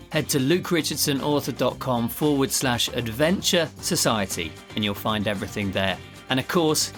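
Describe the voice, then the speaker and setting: A male podcast host with a mid-pitched voice. He speaks with enthusiasm and encouragement, in the style of a presenter addressing guests and listeners. Faint music plays behind him. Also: a bit bright. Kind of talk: interviewing and scripted sign-off.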